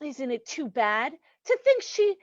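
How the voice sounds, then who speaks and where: A woman's voice: high-pitched, sing-song, a little slow, dry recording, lo-fi sound. A woman playing a gloating, sweetly cruel lady murderess in an old-time radio mystery, cooing mock sympathy at her victim. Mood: sly mock regret.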